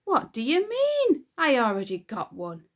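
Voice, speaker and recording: A woman voicing a hapless, put-upon father who splutters in a high, exasperated voice. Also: huge pitch swings, unhurried, fairly bright tone, full character voice. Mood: shocked.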